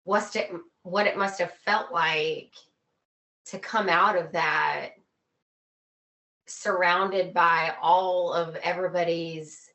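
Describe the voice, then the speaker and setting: A woman's voice: fairly low pitch, steady tone, slow pace, a bit bright. A woman chatting casually as a podcast guest, empathetic and emphatic. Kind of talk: casual chat.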